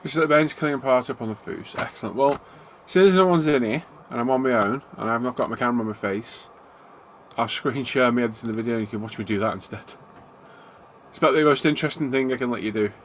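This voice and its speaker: A man's voice: medium pitch, quick pace. A middle-aged man, a little bunged up from a cold, chatting easily with viewers on his live stream. He greets people by name and talks in an everyday tone. His desk mic picks him up in a home hobby room, with a faint hiss behind him. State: congested, getting a cold. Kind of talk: casual live stream chat.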